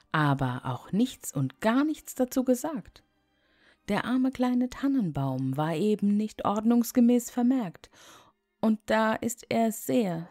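Woman, deep voice, unhurried fairy-tale narration, expressive, dry close sound. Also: no room sound. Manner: expressive, measured, read-aloud delivery. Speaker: storyteller.

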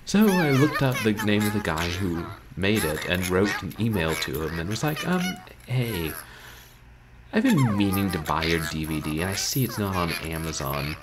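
A man's voice: low-pitched, sing-song, moderate pace. A man in his thirties chatting casually to livestream viewers as host, wry and self-deprecating, with wordy phrasing. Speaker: livestream host, video game streamer. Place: small bedroom, indoors. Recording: noisy home webcam stream.

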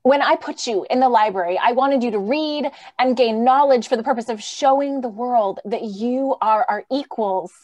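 High, earnest, anxious idealist played by a woman. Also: normal speed, few pauses, a little mellow, close to an everyday voice. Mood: earnest pleading. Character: earnest, anxious idealistic wife pleading for robots. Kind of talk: old-time radio drama acting.

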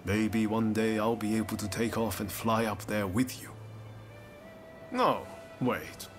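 A man's voice: on the low side, steady tone, unhurried, lightly acted. A man playing an earnest young ronin over prominent music. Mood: warm, hopeful. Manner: casual, earnest, encouraging. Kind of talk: video game cutscene acting. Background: game music.

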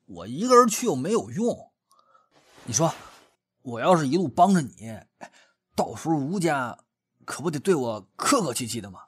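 Brief: male actor as a boastful, loud burly ex-monk in an audio drama. Voice high and bouncy. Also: very animated, moderate pace, long pauses, husky. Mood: scheming. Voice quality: loud and swaggering. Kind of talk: amateur audio drama acting.

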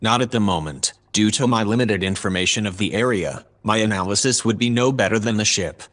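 A man playing a calm observer aboard a spaceship, narrating a sci-fi audio drama in a measured, matter-of-fact way. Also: lowish voice, lightly acted. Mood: calm, factual.

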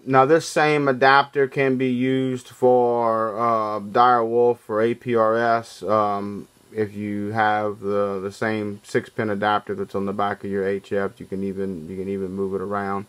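A man giving a casual hands-on demonstration as a radio hobbyist, explaining informally and haltingly from behind a handheld camera indoors. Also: lowish voice, little pitch movement, fairly bright tone, clean tone. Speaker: amateur radio hobbyist making a demo video. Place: small indoor room at home. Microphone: handheld camera, off-screen behind it. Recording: faint noise and hum.

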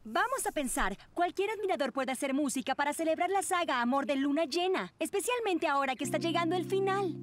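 A woman's voice: high voice, expressive, fairly quick, hardly any pauses. A woman voicing an earnest, bossy teenage girl who leads her friends, emphatic, in a cartoon dub. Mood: earnest, commanding. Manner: emphatic, organising. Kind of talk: animated cartoon dub acting.

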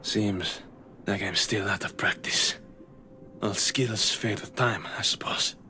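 An actor playing a weary, chivalrous sea-creature warrior in a video game, with a theatrical, formal way of speaking. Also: male voice, fairly low pitch, takes it slowly, pushed and bright, faint music behind, exaggerated voice. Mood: weary, resigned. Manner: theatrical, archaic, formal phrasing. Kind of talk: video game character acting.

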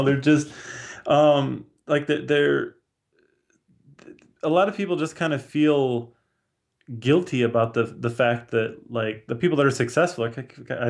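A man's voice: mid-range voice, vocal fry, darkish tone. A man, talking casually as a podcast guest over a video call. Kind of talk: casual conversational discussion. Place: small room at home or office, on a video call. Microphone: video call with headphones.

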